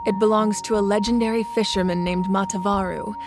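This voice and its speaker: An actress playing a confident woman leader who tells stories in a calm, measured way, in a video game. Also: mid-range voice, music in the background, lightly acted. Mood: reflective. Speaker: Archon of the land. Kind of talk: game voice acting.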